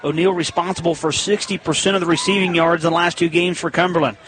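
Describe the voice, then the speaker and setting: A man with an American accent calling live football play-by-play on radio in emphatic, punchy phrases that build toward shouted calls. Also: fairly high pitch, little pitch movement, quick pace, noisy background.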